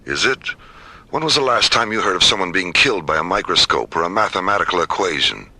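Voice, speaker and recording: An actor playing a principled science director, speaking firmly and articulately in a science fiction radio drama. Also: male voice, low-pitched, lively intonation, bright, forceful tone, heavily acted. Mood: firm, challenging. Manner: measured, firm, articulate. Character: principled, firm male science director opposing weapons.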